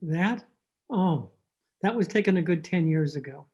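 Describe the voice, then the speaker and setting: An actress plays a blunt, amused relative in an old-time radio drama. Her voice is very low and mellow, heard through slightly muffled audio. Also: animated, unhurried. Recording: muffled home video-call audio.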